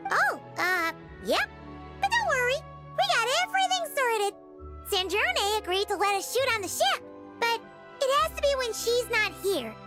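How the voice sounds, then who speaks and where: An actress voicing a tiny, cheerful, childlike companion sprite in a video game, chirpy and eager to reassure. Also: female voice, extremely high pitch, sing-song, normal speed, loud music behind the voice. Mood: cheerful, reassuring.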